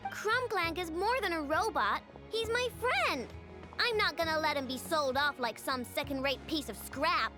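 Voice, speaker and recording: A hot-tempered girl inventor with a very high, lively voice that leaps around as she fires off indignant outbursts. Game music plays clearly behind her. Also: normal speed. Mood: defiant.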